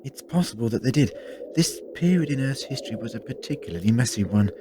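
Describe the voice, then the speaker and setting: A man's voice: mid-range voice, lively intonation, fast, lightly acted. Male time-traveller lead, played by an actor: wry, eloquent, very varied intonation, audio drama. Noisy outdoor ambience. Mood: grave, explanatory. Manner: wry, eloquent, drily humorous.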